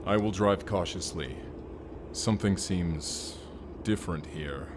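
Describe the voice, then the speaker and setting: A man's voice: low voice, a little slow, background noise. A man playing a stoic, solemn barbarian warrior in a cyberpunk audio roleplay, speaking tersely and formally. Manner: formal, terse, avoids contractions. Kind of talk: first-person audio roleplay acting.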